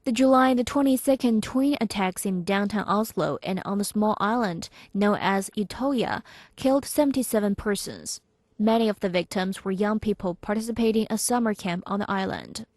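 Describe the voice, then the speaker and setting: A woman reading a scripted news report in a measured, formal manner. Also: medium pitch, a little mellow, no room sound.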